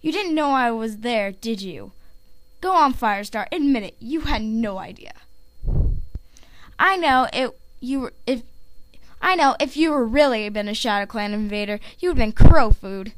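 A woman's voice: a little high, expressive, normal speed, no echo, close to an everyday voice, poor audio. A woman voicing a young male warrior cat who is cocky, goading and teasing, for an audition.

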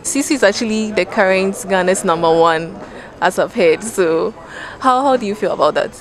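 A young woman interviewing tennis players courtside outdoors, asking questions in a bright, chatty way. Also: mid-range voice, moderate pace, over music. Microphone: handheld mic. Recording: crowd and traffic noise behind.

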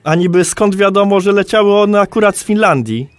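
A man's voice: high-pitched, brisk, natural voice. An actor playing a curious, slightly skeptical man in a conversational audio drama, asking questions.

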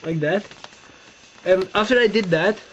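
A young man with a Romanian accent and a fairly high voice talks through a paper-folding demo, pausing and repeating himself while he searches for English words. The sound is dull, lo-fi webcam audio with a faint hiss behind it. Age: young adult. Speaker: tutorial maker. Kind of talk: casual step-by-step demonstration. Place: bedroom, seated on a bed indoors. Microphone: webcam close-up.

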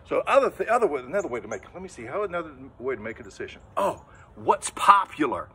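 An older man leads a children's sermon, his voice climbing and dipping with lively swings. He sits a touch high for a man and acts out the thoughts of kids in little voices. He is recorded outdoors from a camera a few metres off, with faint open-air ambience behind him. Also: fast talker, a little hiss or hum. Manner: lively storytelling, acts out inner voices, gestures a lot. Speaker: children's sermon leader at a church. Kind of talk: children's sermon, casual and animated. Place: outdoors in front of a brick church building.

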